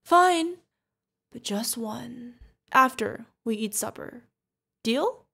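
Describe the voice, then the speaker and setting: A woman's voice: a little high, lively intonation, takes it slowly, vocal fry, a bit bright, husky, exaggerated voice. A woman playing a fierce yet tender witch mentor who is protective of an adopted pupil, in an emotional fantasy audio roleplay. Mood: gentle, soothing. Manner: emotional, commanding, affectionate, pleading. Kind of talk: ASMR fantasy roleplay acting.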